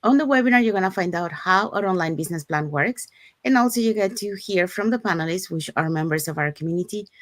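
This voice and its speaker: Woman, lowish voice, dry sound, co-host, explains step by step. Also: fairly bright tone, no echo. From Panama. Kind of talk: live-stream panel chat.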